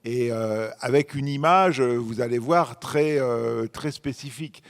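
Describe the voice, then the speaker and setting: A man acting as moderator of a live film masterclass, introducing and questioning informally, with hesitant, halting phrasing. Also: mid-range voice. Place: arts venue, live masterclass.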